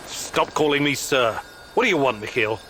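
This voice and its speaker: A man's voice: medium pitch, expressive, moderate pace, bright, forceful tone, slight background noise, low-quality recording. An actor playing a serious, weary male mission leader in a video game, with a dry, earnest, terse manner. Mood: irritated. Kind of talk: video game character acting.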